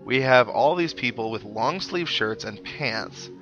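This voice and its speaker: A man's voice: mid-range voice, animated, unhurried. A man giving casual, rambling and wry commentary as he plays a video game, musing aloud. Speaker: host of a video game playthrough series. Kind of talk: casual let's play commentary. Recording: dull lo-fi mic under loud game music. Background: video game music.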